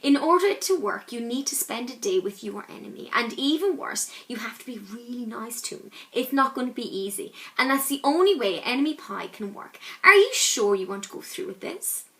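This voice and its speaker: Storybook father, played by a female reader: calm, clever, hushed and conspiratorial, bright tone. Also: extremely high pitch, moderate pace, hardly any pauses, heavily acted. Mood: secretive, serious. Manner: quiet, conspiratorial. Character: a boy's calm, secretive, clever dad.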